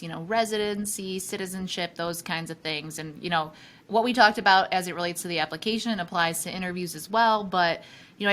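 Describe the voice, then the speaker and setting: A woman with a fairly low voice hosts a casual podcast discussion. She is chatty and agreeable, affirming points and talking them through conversationally. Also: fairly bright tone. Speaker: podcast host, employment lawyer.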